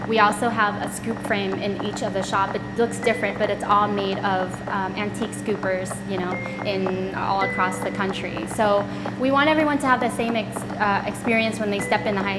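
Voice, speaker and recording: A woman's voice: medium pitch, big-room sound, low-quality recording. A middle-aged woman from Vietnam, co-founder of an ice cream shop, talking casually in an interview with frequent ums and restarts. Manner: relaxed, with many ums and restarts.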